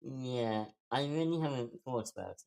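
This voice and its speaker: A man's voice: medium pitch, moderate pace, creaky, darkish tone, close to an everyday voice, poor audio. A man playing a self-deprecating, anxious young man in a casual screenplay table read. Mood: awkward, guarded.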